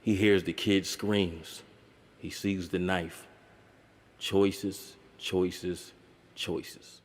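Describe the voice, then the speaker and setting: Low-voiced male narrator for a film essay; dry wit, casual cursing, reverberant sound. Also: little pitch movement, extremely slow. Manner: wry, conversational, with profane asides.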